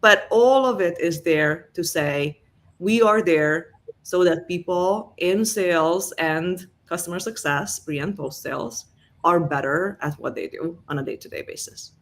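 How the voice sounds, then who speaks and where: A woman's voice: lowish voice, unhurried. Hungarian-accented woman telling her story. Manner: warm, conversational, many fillers. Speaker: guest, revenue enablement executive. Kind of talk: interview, career story.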